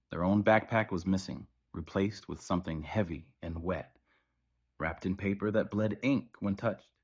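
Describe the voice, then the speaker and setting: A man narrating scripted horror as an official emergency broadcast, in a formal, measured, ominous manner. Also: deep voice.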